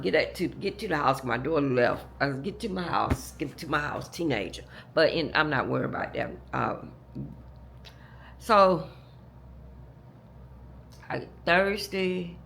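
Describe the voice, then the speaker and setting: A hoarse woman, telling a long story to her viewers in a casual, rambling way, with many restarts. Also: sits low, normal speed, a bit bright, slight background noise. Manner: rambling, repeats and restarts, talks straight to "y'all". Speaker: vlogger.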